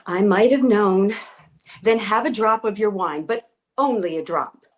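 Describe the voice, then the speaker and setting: A woman's voice: medium pitch, unhurried, close to an everyday voice. A woman playing a bossy, practical older woman in a comic readers theater piece, impatient and scolding but caring underneath. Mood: bossy, dry. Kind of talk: comedic readers theater acting.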